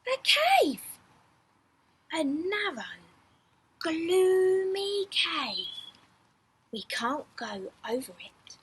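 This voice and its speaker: High-pitched, slow, animated woman storyteller. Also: a little hiss or hum. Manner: theatrical, with gasps, whispers and drawn-out words. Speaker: teacher reading a bedtime story for schoolchildren. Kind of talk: expressive children's picture-book read-aloud.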